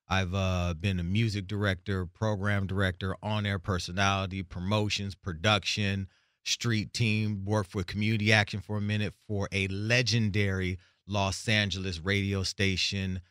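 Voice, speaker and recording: A man in his forties, born in Tanzania, telling his story in a relaxed podcast chat. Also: lowish voice, clean tone, dry recording, studio quality. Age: 40s. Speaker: executive producer, guest.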